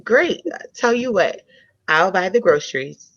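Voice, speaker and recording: Woman acting a forthright sweetheart, lively, muffled audio. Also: mid-range voice, unhurried. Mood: friendly, inviting. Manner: direct, conversational. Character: forthright, good-natured young woman courting a shy man. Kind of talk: comedy table read.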